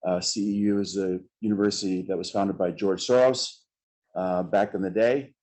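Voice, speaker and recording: A middle-aged man introducing himself as a panelist at a conference table, measured and filled with "uh" pauses. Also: sits low, fairly even intonation, a little mellow. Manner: measured, many "uh" fillers, gestures with hands.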